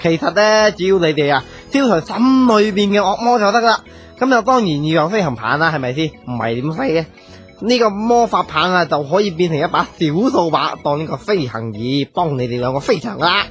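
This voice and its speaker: An actor with a Hong Kong Cantonese accent playing a cheerful magic-school teacher in a comic radio drama. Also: male voice, fairly high pitch, animated, fairly quick, faint music behind, natural voice. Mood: instructive. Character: cheerful magic-school professor, a parody boy-wizard teacher.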